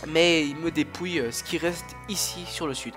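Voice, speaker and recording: A man's voice: a little high, moderate pace, fairly bright tone, faint music behind. A young man with a cold who coughs now and then, chatting casually and rambling through his commentary on a strategy game, full of fillers and restarts. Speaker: gaming YouTuber.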